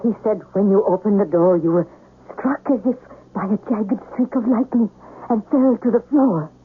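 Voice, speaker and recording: An actress playing a cold, calculating conspirator in a 1970s radio ghost tale, speaking deliberately and matter-of-factly. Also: female voice, medium pitch, breathy edge. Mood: cool, calculating. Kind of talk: 1970s radio drama acting. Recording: tinny 1970s radio broadcast with music.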